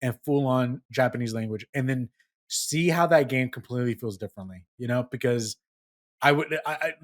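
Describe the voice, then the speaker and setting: A man hosting a casual gaming podcast, steering the talk with questions in an animated way. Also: mid-range voice, moderate pace. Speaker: podcast host.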